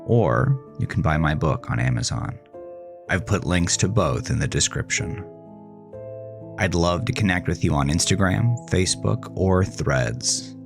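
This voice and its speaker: A man's voice: low voice, expressive, unhurried, vocal fry, music in the background. A man speaking as a horror podcast host, reading a scripted sign-off.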